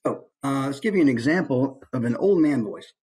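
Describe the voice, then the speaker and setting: Husky American man giving an informal lesson. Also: mid-range voice, expressive, fairly quick, darkish tone. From United States. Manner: conversational, demonstrates as he explains. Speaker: voice actor giving a presentation. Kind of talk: informal instructional talk.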